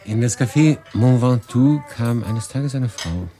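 An actor playing a weary, brooding young refugee, narrating a radio drama with intensity, shifting between hushed and emphatic. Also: male voice, mid-range voice, expressive, a little slow, soft background music. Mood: haunted, longing.

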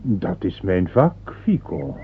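A cautious, sententious cardinal from a radio play, in a lowish voice that takes its time and rises and falls widely. He is pious and evasive, weighing what he gives away. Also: sing-song, creaky, breathy edge, heavily acted. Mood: guarded, evasive. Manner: measured, pious, guarded. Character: cautious, evasive, sententious high-ranking churchman. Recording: tinny, band-limited old radio play.

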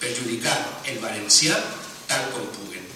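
A man's voice: mid-range voice, animated, moderate pace, bright, forceful tone, recorded from a distance, slight background noise. A man speaking as an opposition councillor in a council debate, indignant and insistent. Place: council chamber. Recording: echoey council chamber from afar.

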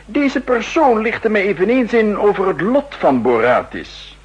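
An actor playing a theatrical, scheming spy chief in a 1960s radio play, with a husky, insinuating delivery. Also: male voice, sits high, very animated, a little slow, lo-fi sound, exaggerated voice. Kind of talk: 1960s radio drama acting.

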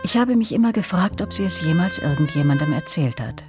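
An actress playing a grown woman who narrates a German radio drama reflectively, with a husky, breathy voice. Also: low voice, expressive, fairly quick, music in the background. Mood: wistful, reflective.

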